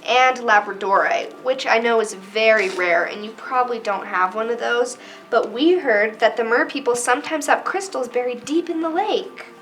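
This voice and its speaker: A woman playing an earnest, excitable fairy in amateur children's theater, with emphatic, theatrical delivery. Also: a little high, normal speed, close to an everyday voice, poor audio.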